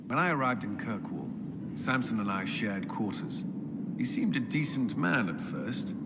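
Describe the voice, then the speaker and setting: A dutiful military commander from a fantasy video game, once a templar knight. His voice sits fairly low, and he speaks in an earnest, formal, measured way. The sound carries a long hall reverb with noticeable ambience behind it. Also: noisy background. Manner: earnest, measured, formal, hesitant in personal talk. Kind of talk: video game character dialogue.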